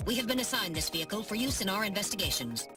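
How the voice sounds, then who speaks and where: A woman's voice: medium pitch, big pitch swings, fast talker, close to an everyday voice. A woman voicing a small, dutiful robot assistant in a video game, speaking in formal, precise phrases. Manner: formal, precise, informative diction. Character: small polite robot personal-assistant navigator, dutiful and earnest. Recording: distant voice under loud music.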